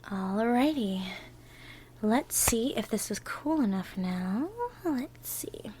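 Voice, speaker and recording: Affectionate, excitable girlfriend played by a woman for home-baking audio roleplay; slightly high voice, casual, encouraging, soft murmured asides. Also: slow pace, a little hiss or hum, low-quality recording. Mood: soft, focused.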